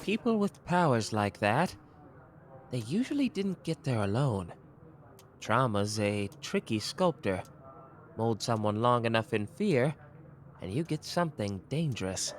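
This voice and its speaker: A man voicing a sharp, playful young male detective in a listener ASMR roleplay, teasing one moment and grave the next. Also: medium pitch, very animated, slight background noise. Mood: brooding. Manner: quick, teasing, theatrical shifts between cheeky and grave.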